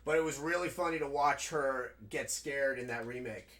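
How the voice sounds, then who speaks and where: A man with a raspy, nasal New York accent, chatting casually as a game streamer while he plays. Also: medium pitch, normal speed, a bit bright, slight background noise. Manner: rambling, chatty, full of fillers. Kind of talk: casual gameplay commentary.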